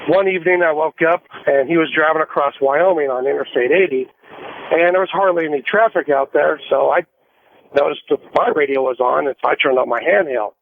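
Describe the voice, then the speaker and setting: A man's voice: fairly high pitch, a little fast, gravelly. An American man, a trucker calling a radio show, telling a casual, rambling story over a phone line. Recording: tinny narrowband phone line.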